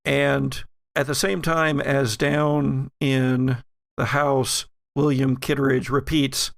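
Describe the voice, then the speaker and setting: A man working as game master, improvising descriptive narration for a tabletop horror game in a halting, self-correcting way. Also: mid-range voice, flat delivery, vocal fry, no echo, clean studio sound.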